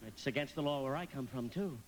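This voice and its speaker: A man's voice: mid-range voice, a little fast, a little hiss or hum, close to an everyday voice, low-quality recording. A man playing an eager, naive, fussy apprentice guardian angel in a live radio play, speaking earnestly and politely with some hesitation.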